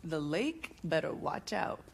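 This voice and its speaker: An actress with an American accent playing a cool, sassy young street racer in a video game, dry and casual. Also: female voice, low-pitched, sing-song, slow, creaky, slightly rough, full character voice. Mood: casual, confident. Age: young adult.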